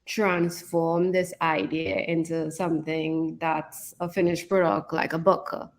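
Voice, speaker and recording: A woman's voice: low-pitched, level tone, a little slow. A woman co-hosting a casual podcast chat as an author, often checking in with "right?". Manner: conversational, often adds "right?" as a tag.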